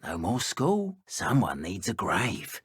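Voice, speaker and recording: An actor voicing a menacing, petty and cruel British dark wizard henchman in a video game, with a theatrical, taunting delivery. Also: low voice, wildly swooping pitch, slow, breathy edge, no room sound, clean studio sound, heavily acted. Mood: mocking, cold. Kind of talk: video game enemy voice lines.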